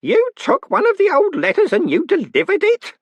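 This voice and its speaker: A man voicing a fussy, easily agitated little old male postman who talks in a high, shrill voice. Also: wildly swooping pitch, normal speed, dry recording, highs cut off, full character voice. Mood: horrified.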